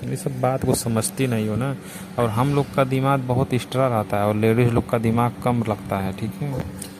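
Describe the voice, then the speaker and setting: A man's voice: mid-range voice, steady tone, moderate pace, natural voice. A man playing a persuasive, inquisitive village ice seller in a phone romance skit, with a rustic eastern Uttar Pradesh accent. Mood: cautious, persuasive. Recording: noisy, outdoor traffic behind.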